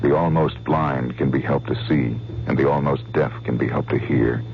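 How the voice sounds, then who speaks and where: A man's voice: very deep voice, steady tone, normal speed, creaky. An American man reading a scripted public service announcement. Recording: thin phone-like radio sound, faint hum.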